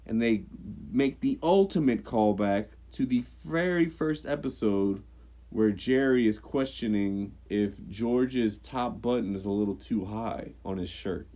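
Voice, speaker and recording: A man co-hosting a podcast, chatting casually, swearing freely and jumping into squeaky mock voices. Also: measured pace, warm tone. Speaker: podcast co-host. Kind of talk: casual chat.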